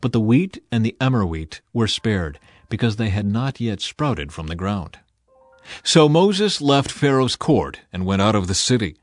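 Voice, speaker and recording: A man's voice: on the low side, big pitch swings, a little slow, no echo. A man narrating a dramatized scripture audiobook in a solemn, emphatic storytelling manner.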